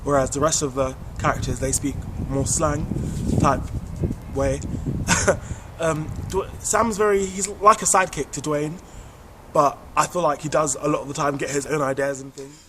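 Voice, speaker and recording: A man speaking as an actor in proper English with halting restarts, informally introducing his part. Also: a little high, fairly even intonation, noisy background.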